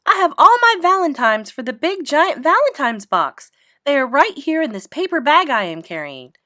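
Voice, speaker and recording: Casting: woman as blunt, gushing kindergarten girl for a storybook, high voice, very animated, emphatic childish grammar. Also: normal speed, no echo, clean studio sound, full character voice. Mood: excited.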